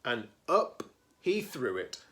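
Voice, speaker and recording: Male storybook reader, librarian style: highly varied pitch, slow delivery, dramatic pauses, playful chatty asides for children. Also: big pitch swings, fairly bright tone, a little hiss or hum. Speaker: teacher-librarian reading a picture book aloud. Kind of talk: animated children's read-aloud with chatty asides.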